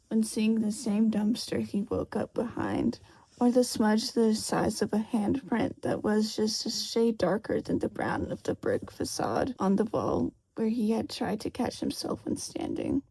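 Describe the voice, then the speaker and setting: A woman's voice: a little high, dark tone, husky, low-quality recording. A woman reading a fan fiction story aloud as scripted narration. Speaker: fan fiction audiobook reader.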